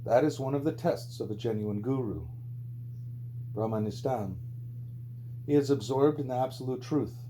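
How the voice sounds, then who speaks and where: A man reading a spiritual book aloud as scripted audiobook narration, with a mellow voice. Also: moderate pace, long pauses. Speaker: audiobook reader. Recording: low hum and background noise.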